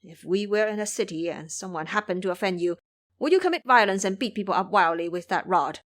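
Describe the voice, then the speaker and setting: A woman's voice: mid-range voice, animated, brisk, a bit bright, close to an everyday voice. A woman playing a pious male Buddhist monk, an earnest master who admonishes and preaches in an audio drama. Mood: outraged.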